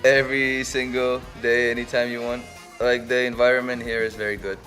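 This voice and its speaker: A man's voice: medium pitch, little pitch movement, brisk, a bit bright, faint music behind. A young man from Russia, a student speaking enthusiastically in a casual interview. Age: young adult.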